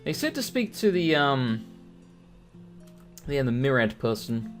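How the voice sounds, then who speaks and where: A British man talking over his game with a lively, swooping voice that jumps up and down as he jokes. He swears freely and drifts into long, rambling anecdotes, and a faint bed of game music sits underneath him. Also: medium pitch, unhurried. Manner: profane, joking, animated storytelling. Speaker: gaming video host. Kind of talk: let's play commentary, rambling anecdotes.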